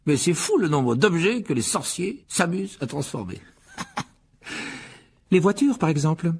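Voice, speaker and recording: A man voicing a sheepish, excitable middle-aged wizard father who stammers and backtracks, for an audiobook. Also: fairly high pitch, unhurried, slight background noise, voice pushed up. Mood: amused, animated.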